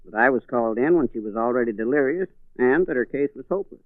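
Sober general practitioner for an old radio mystery, played by a man, medium pitch, formal, a touch hesitant, telephone-thin recording. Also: animated, fast talker, no echo, lightly acted. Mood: matter-of-fact. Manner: plain, formal, slightly hesitant. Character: matter-of-fact small-town general practitioner, mildly defensive. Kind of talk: 1940s radio acting. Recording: muffled 1940s radio recording, phone-like band.

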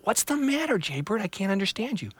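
Storybook sapling girl, high and fretful, wide swoops, man reading. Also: very animated, a little fast, breathy edge, no echo, heavily acted. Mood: worried. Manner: anxious, hopeful, wondering aloud. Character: timid young female sapling tree.

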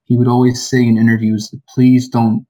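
A man's voice: medium pitch, flat delivery, normal speed, mellow, poor audio. A man speaking as a podcast guest, hesitant and full of ums and restarts. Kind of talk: casual podcast conversation.

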